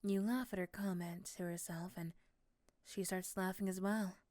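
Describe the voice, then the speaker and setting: A woman's voice: mid-range voice, steady tone, normal speed, darkish tone, no echo. Dry, close female roleplay narrator. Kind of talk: scripted second-person ASMR roleplay narration.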